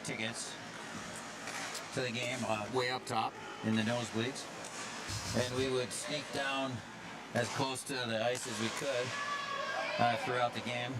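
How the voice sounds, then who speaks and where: A man doing relaxed color commentary at an ice rink, drifting from story to story with plenty of fillers and false starts. His voice sits in an ordinary middle pitch, and loud arena ambience fills the background. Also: a little slow, long pauses, heavy background noise. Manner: rambling, storytelling, many fillers and restarts. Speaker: hockey commentator.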